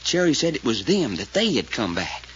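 An actor playing a folksy, excitable detective sidekick with a drawl in a 1940s radio drama. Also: male voice, mid-range voice, moderate pace. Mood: earnest recounting. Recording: muffled, noisy old radio recording.

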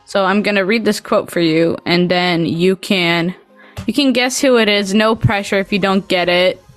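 A woman's voice: mid-range voice, moderate pace, faint music behind. A woman with a Swedish accent hosting a podcast and asking casual interview questions in a chatty way. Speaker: podcast host.

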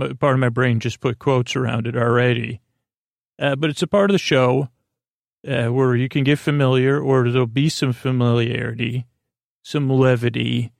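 A man with a creaky voice hosting a bedtime podcast in a halting, meandering, lulling improvised monologue. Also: medium pitch, no room sound, studio quality. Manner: meandering, halting, trails off into tangents; soothing, lulling. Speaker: podcast host.

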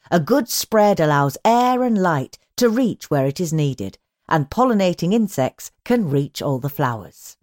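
Lively low-pitched woman narrator. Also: dry recording, clean studio sound. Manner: measured, instructional, clearly phrased reading. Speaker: magazine audio edition narrator. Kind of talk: scripted narration, read magazine articles.

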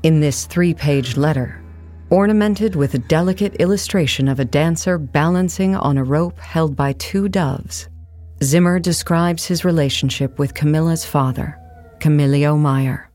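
A woman's voice: low voice, unhurried, darkish tone, faint music behind. A woman narrating an audio play in a scripted documentary style.

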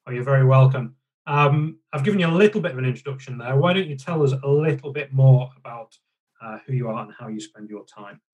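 A man's voice: medium pitch, fast, darkish tone, husky, highs cut off. A man hosting a podcast, reading an upbeat introduction and welcoming his guest. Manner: upbeat, promotional. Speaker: podcast host. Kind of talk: scripted introduction and interviewing.

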